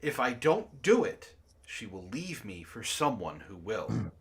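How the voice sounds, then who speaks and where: A man's voice: fairly low pitch, big pitch swings, unhurried, vocal fry, a bit bright. A man hosting a read-aloud, narrating casually and breaking off for sardonic, profane asides. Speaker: book club host reading a story aloud with commentary.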